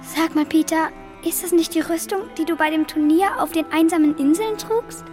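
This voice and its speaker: Someone acting an eager curious little sister, really high voice, kids audio play w/ music behind. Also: normal speed, a little mellow. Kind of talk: children's audio drama acting.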